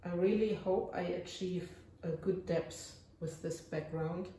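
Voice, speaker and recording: A German woman with a German accent, narrating her resin crafting casually and often pausing to search for English words. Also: on the low side, fairly even intonation, mellow, echoey room, distant mic.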